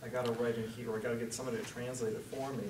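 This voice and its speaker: Male questioner, quick talker who acts out hypothetical quotes, heard far from the mic in a reverberant room. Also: medium pitch, flat delivery. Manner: voices imagined quotes to make his point. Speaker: audience questioner. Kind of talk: asking a spoken question at a seminar. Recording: echoey room, distant mic, noisy.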